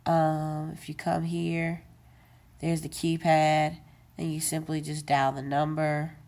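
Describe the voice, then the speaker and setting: Slow, low-voiced woman demoing a gadget. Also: monotone, a little mellow. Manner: unscripted, with many fillers and self-corrections. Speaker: tech video presenter. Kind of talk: casual how-to demonstration.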